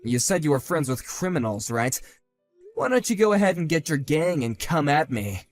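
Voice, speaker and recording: A man voicing a calm, sardonic grown man who talks in a level, dry and cutting way, in a one-man voiced drama. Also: a little high, moderate pace, no room sound, faint music behind.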